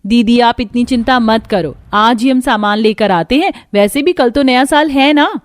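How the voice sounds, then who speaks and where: Woman doing a young caring sister-in-law, cartoon, high voice, eager and supportive. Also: moderate pace, no room sound, lightly acted. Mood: cheerful. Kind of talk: Hindi cartoon story dialogue.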